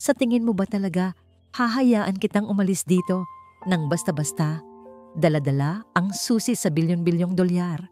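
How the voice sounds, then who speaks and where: A woman voicing a cruel, calm female villain who talks in a condescending, mocking way in a story drama. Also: on the low side, moderate pace, faint music behind, lightly acted.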